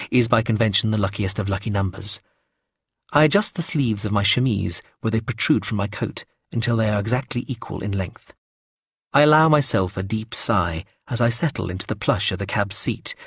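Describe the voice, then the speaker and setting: A man narrating a first-person story aloud in a measured, wry way. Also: fairly low pitch, vocal fry, slightly rough, no room sound, studio quality.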